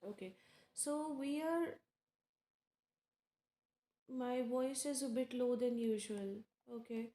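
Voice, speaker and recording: High, slow-speaking woman with an Indian accent. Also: warm tone. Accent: Indian English. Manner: unhurried, many fillers, talks to chat viewers by name. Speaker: tarot reader hosting a live stream. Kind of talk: casual live-stream chat with viewers. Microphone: earphones with mic. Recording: echoey room.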